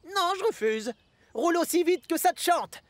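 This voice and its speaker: A woman voicing a mischievous young male tank engine, creaky and boyish, in a French-dubbed children's cartoon. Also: extremely high pitch, lively intonation, normal speed, dry recording. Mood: taunting. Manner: cheeky, conspiratorial, boyish.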